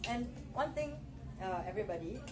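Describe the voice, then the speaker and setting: A woman's voice: medium pitch, lively intonation, fairly quick, recorded from a distance, background noise. A woman from Singapore with a Singaporean accent, presenting a math-teaching seminar and often checking in with her listeners with "okay?". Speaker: education presenter.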